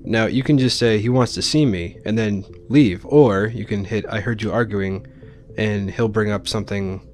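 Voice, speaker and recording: A man's voice: lowish voice, moderate pace, darkish tone, faint music behind. A man giving informal, explanatory commentary as he hosts a video game playthrough. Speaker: Let's Play host. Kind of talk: casual gameplay commentary.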